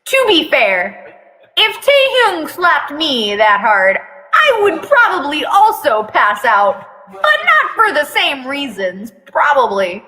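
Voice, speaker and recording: A woman's voice: high voice, huge pitch swings, slow, sharp tone. A woman giving a dramatic reading of fan fiction, breaking off for irreverent, foul-mouthed joking commentary. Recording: long echoing room reverb.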